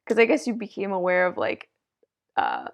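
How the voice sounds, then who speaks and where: A woman's voice: fairly low pitch, huge pitch swings, moderate pace, gravelly. A woman hosting a casual chat, hesitant and chatty with many fillers. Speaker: host.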